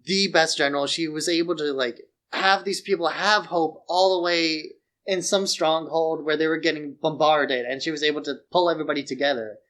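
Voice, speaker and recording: A man's voice: fairly high pitch, fairly even intonation, a little fast, fairly bright tone. A man co-hosting a podcast in a casual film discussion, rambling and opinionated, often saying "like". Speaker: podcast co-host.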